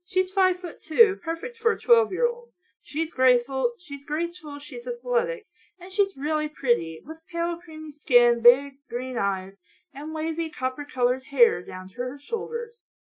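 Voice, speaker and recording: A woman reads as an insecure twelve-year-old schoolgirl telling her own storybook tale. The voice is high, aggrieved and self-pitying. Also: very animated, normal speed, poor audio. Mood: glum.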